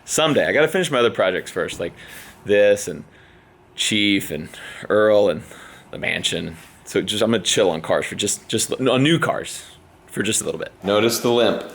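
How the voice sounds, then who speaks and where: A young adult man with a slightly hoarse voice, chatting casually as a veterinarian vlogger in an easygoing, rambling way. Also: on the low side, animated, normal speed, a bit bright, roomy sound. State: a little hoarse. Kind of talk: casual vlog chat.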